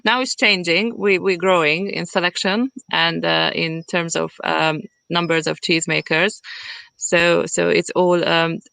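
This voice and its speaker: A woman from Hungary with a Hungarian accent, chatting as an interview guest in a halting way as she searches for words. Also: lowish voice, fairly even intonation, vocal fry, fairly bright tone, clear, resonant tone, no echo. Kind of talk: casual conversational interview. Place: at home in the countryside.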